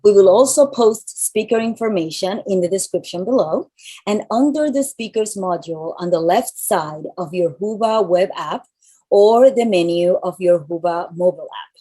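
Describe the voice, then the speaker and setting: A woman with a mild Spanish accent and a deep voice reads welcome notes at a measured pace. She sounds like a host on a video call, speaking from a large room. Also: animated, warm tone, husky, rough recording. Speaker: event host. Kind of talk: reading scripted welcome announcements.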